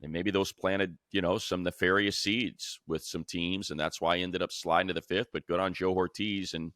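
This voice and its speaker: American male sports host, deep voice, dry studio sound, conversational analysis with emphatic repeats. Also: steady tone, moderate pace, a bit bright, no room sound. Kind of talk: casual football commentary.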